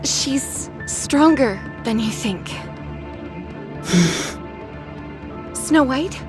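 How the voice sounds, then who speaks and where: An actress playing a gentle, caring young female soldier in a video game, with a soft-hearted, hesitant manner. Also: high voice, expressive, drawn-out words, full character voice. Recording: background music under voice.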